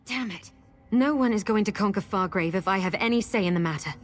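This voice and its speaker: A woman playing a guarded, determined guide in a fantasy video game, speaking in a measured, resolute manner. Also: medium pitch, a little hiss or hum, natural voice.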